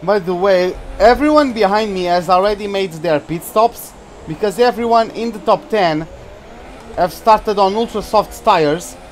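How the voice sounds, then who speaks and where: A young man with a Portuguese accent chats through a live gameplay stream, laughing easily as he drives. His voice sits fairly high, and he talks at an unhurried pace. Faint engine and room noise hums underneath. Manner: chatty, laughs often while playing. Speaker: gaming streamer.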